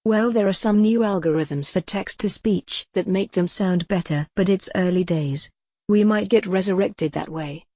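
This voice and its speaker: A synthetic female text-to-speech voice playing a calm, wry retro computer who thinks she's a cat, deadpan in an audio comedy drama. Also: mid-range voice, normal speed, dry recording, studio quality, natural voice. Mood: calm, matter-of-fact.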